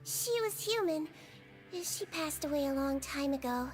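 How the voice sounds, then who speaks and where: A woman playing a gentle, sly little childlike creature doctor in a video game, with a small girlish voice and a soothing, unhurried manner. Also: high voice, animated. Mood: gently reflective. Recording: game music behind the voice.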